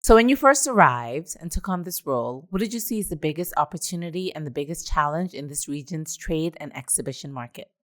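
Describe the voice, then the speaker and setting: A woman's voice: low voice, animated, fairly quick, hardly any pauses, a bit bright. A woman hosting a podcast, reading polished interview questions in an upbeat manner. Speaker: podcast host.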